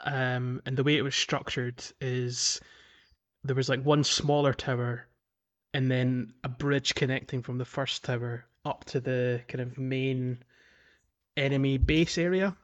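A man in a relaxed gaming podcast conversation, with a mid-pitched voice. He talks in a chatty, enthusiastic way, as a host among friends. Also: unhurried, highs cut off. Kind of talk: casual video game chat.

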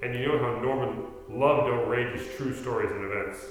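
A man acting in a radio play as an earnest middle-aged storyteller full of wonder, with a deliberate, formal delivery. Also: mid-range voice, close to an everyday voice. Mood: intrigued. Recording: very echoey hall, music behind.

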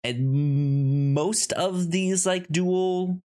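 A man co-hosting a casual film discussion, speaking haltingly and often repeating syllables and words in a stutter. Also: high voice, warm tone. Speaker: podcast co-host.

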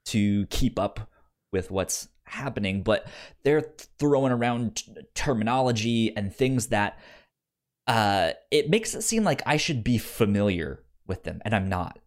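A man chatting casually as a podcast host. His pitch moves around a great deal, and he often restarts words and phrases in a halting, conversational way. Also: animated.

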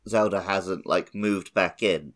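A man's voice: low voice, takes it slowly, a bit bright. A man co-hosting a podcast, talking casually about video games in a conversational way. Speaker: podcast co-host. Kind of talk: casual video game discussion.

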